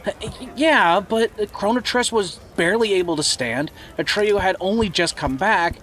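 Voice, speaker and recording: A man's voice: sits high, normal speed, fairly bright tone, a little hiss or hum. A man playing an eager young listener who questions a storyteller in a fantasy audio drama. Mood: protesting.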